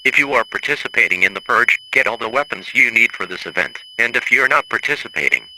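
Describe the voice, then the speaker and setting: A man's voice: medium pitch, animated, fast, sharp tone, slight background noise, poor audio. A man playing a stern government emergency alert announcer, reading formal, stilted scripted warnings over a faintly humming broadcast.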